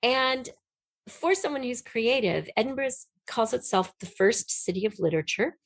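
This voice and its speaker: A woman's voice: a little high, expressive, normal speed, no echo. A woman telling stories conversationally as an interview guest, with an effusive, enthusiastic manner. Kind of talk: animated conversational storytelling.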